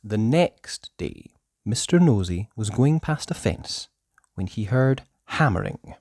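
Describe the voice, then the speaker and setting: A storyteller reading a children's book aloud in a theatrical, deliberate way, with emphatic words and hushed passages. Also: medium pitch, big pitch swings, dry recording. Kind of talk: expressive children's story narration.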